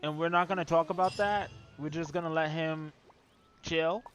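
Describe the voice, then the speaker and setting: A man's voice: on the high side, steady tone, moderate pace, a bit bright, slight background noise. A man streaming a video game with casual, sarcastic, teasing asides at the story. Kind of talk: casual live commentary over gameplay.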